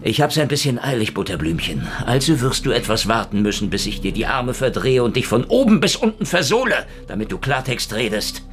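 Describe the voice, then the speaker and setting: A tough middle-aged gumshoe with a medium-pitched voice that swings widely in tone. He is brusque and mocking, trading noir radio-play dialogue over prominent music. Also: big pitch swings, fairly quick, few pauses, a bit bright. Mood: taunting, threatening. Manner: sardonic, taunting, brusque. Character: tough, sardonic middle-aged male detective, teasing and bullying. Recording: music under the voice.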